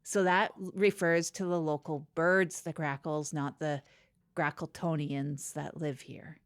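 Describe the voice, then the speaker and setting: Small-town public-access co-host, played by a woman: lowish voice, earnest, literal, chatty and halting. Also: level tone. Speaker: co-host of a comedy news podcast. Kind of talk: improvised mock local public-access news chat.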